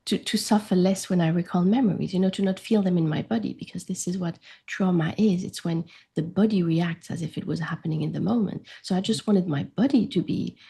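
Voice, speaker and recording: A woman in her forties with a warm, mellow voice and a husky edge. As a guest on an interview, she explains her experiences earnestly and grows animated as she goes. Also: on the low side, fairly quick, hardly any pauses. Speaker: grief coach guest.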